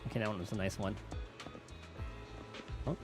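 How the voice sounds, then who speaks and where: A man's voice: lowish voice, fairly even intonation, moderate pace, darkish tone, soft background music. A man streaming a video game, giving casual commentary and reading dialogue aloud.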